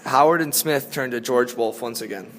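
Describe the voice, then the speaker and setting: A man's voice: medium pitch, normal speed, background noise. A man reading scripted story narration as a narrator. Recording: echoey hall reverb.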